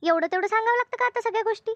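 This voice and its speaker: Casting: woman to play scolding cartoon mother, extremely high-pitched, rural Maharashtra accent, exasperated, dry dull recording. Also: rapid-fire, fairly bright tone, no echo. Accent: rural Maharashtra Marathi. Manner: nagging, full of rhetorical questions. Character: exasperated village mother scolding her household. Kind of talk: amateur Marathi cartoon dubbing.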